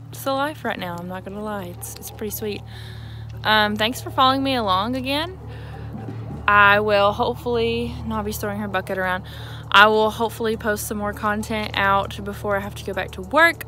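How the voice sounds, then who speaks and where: A woman's voice: mid-range voice, pushed and bright. A young woman talking casually to viewers in an informal, rambling way, recorded outdoors on a phone held at arm's length. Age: young adult. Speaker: equestrian vlogger. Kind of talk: casual vlog talk to viewers. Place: outdoors on a horse farm.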